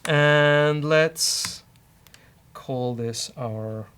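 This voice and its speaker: A man's voice: fairly high pitch, drawn-out words, a bit bright. A man with a British accent hosting a casual live coding walkthrough, thinking aloud in a jokey way. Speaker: host of a coding show. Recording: keyboard clatter and clicking noise. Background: typing and mouse clicks.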